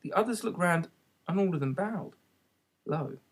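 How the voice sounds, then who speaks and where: A man reading a children's classic aloud in an expressive storybook narration style. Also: high-pitched, moderate pace, husky. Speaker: audiobook reader.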